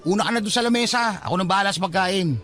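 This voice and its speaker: Man acting a cheeky, high-voiced young suitor. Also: rapid-fire, soft background music. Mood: playful grumbling. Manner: teasing, animated. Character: persistent, teasing young suitor, playful and cheeky. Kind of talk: Filipino radio drama dialogue.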